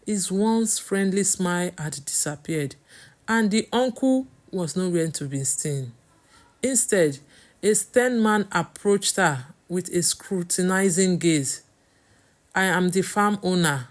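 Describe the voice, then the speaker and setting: A woman's voice: on the low side, lively intonation, slow pace, a little mellow. A woman with a Nigerian accent reading a scripted story as its storyteller.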